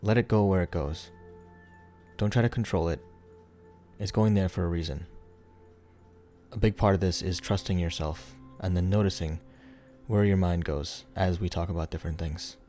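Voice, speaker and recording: A man leading a guided meditation in a soothing, unhurried, instructional way, leaving pauses between phrases. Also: deep voice, dark tone. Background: background music.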